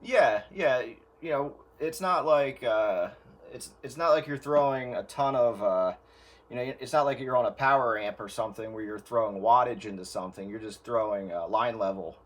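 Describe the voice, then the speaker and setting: A man's voice: medium pitch, normal speed. A man giving casual, explanatory technical advice in an informal chat over the radio. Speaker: audio gear hobbyist giving advice.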